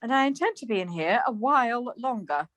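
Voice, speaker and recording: A woman plays a chirpy but easily huffy wife in a domestic radio play. Her voice sits fairly high and moves up and down freely, with natural, retorting delivery. It is recorded close, without room echo. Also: animated, a bit bright. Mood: sullen, puzzled. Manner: chirpy, indignant, quick to retort. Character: Margaret, bright, stubborn, easily huffy wife in lockdown.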